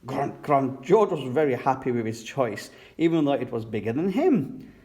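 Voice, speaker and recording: A male story reader for young children. His medium-pitched voice rises and falls in a lively way as he narrates expressively. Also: moderate pace. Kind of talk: expressive read-aloud storytelling.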